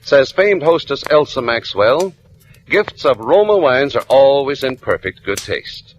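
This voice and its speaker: Old timey radio ad guy, polished and emphatic, very animated pitch, slight hiss. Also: a little slow, low-quality recording. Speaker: sponsor announcer. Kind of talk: 1940s radio advertisement read. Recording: vintage radio recording, faint noise.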